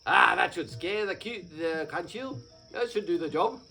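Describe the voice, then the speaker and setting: A man voicing a worried farmer in a told story, muttering low and fretting over his crops. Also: very animated, moderate pace, creaky, sharp tone, soft background music, full character voice. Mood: fretful. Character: grumbling worried male farmer.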